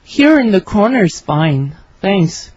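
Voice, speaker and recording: A woman reading her part in a scripted English conversation for learners, with clear, careful delivery. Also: mid-range voice, big pitch swings, slow pace, darkish tone, no room sound. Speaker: dialogue reader for English learners. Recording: dry, slightly dull studio recording.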